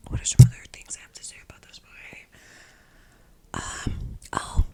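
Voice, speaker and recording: Young woman in a breathy whisper. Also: normal speed, warm tone. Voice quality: breathy whisper, almost entirely unvoiced. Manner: informal, slangy, rambling. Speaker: film reviewer. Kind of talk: whispered casual movie review, ASMR-like.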